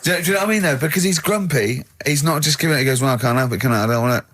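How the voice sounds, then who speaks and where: A man's voice: a little high, racing, a bit bright. A man hosting a comedy radio show with casual, needling banter. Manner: teasing, needling.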